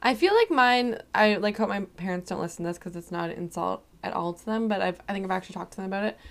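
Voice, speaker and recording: A soft-spoken young woman asks the questions on a casual chat podcast. Her voice sits in a middle range and sounds a little worn, and she rambles easily, leaning on "like" at every turn. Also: medium pitch, expressive, fast talker. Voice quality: soft-spoken, voice often strained. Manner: laid-back, rambling, lots of "like". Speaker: podcast co-host asking questions.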